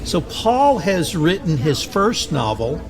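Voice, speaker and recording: A man's voice: on the high side, sing-song, slow pace. A man in his sixties from Texas hosting a podcast in a casual, chatty way, with plenty of fillers. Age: 60s. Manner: folksy, chatty, hesitant with fillers. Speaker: podcast host. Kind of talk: casual interview. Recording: noisy recording.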